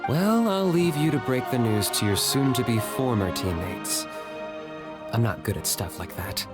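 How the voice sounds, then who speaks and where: A man's voice: medium pitch, expressive, measured pace, music in the background, natural voice. A man voicing a cool, blunt, reserved young warrior in a video game. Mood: dry.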